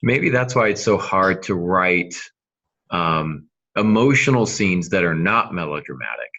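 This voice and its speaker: A man with a low voice hosts a casual podcast chat. His tone is bright and forceful, though the sound is dull and lo-fi. He feels his way through sentences with hesitations. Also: sing-song, unhurried, vocal fry. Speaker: podcast host, novelist.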